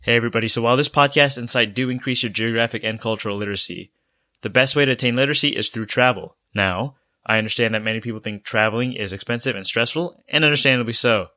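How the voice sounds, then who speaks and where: Bright-voiced male podcast host. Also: mid-range voice, quick pace, dry recording, studio quality, soft background music. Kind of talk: podcast hosting and read ad.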